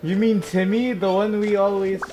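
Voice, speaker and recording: A woman's voice: medium pitch, steady tone, moderate pace, dark tone, soft background music. A woman hosting a gameplay video, reacting casually to the game as she goes.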